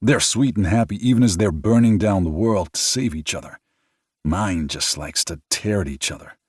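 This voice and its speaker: A man's voice: deep voice, moderate pace, breathy edge, no echo, clean studio sound, voice pushed up. A man voicing a weary, bitter adult man fed up with his own family, swearing freely.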